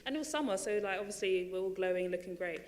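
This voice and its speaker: A woman's voice: mid-range voice, quick pace, darkish tone, in a large hall. A woman and running coach telling her story at a panel talk, chatty and full of fillers and restarts. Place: large theatre stage.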